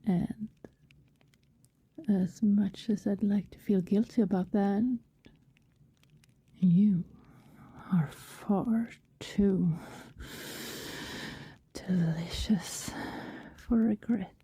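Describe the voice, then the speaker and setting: Casting: actress as seductive female vampire for ASMR roleplay; very breathy, dark, soft whisper, slow and possessive. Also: medium pitch, fairly even intonation, dry recording, full character voice. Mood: hungry, seductive. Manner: slow, lingering, soothing delivery. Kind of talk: intimate ASMR roleplay acting. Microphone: very close, dry microphone.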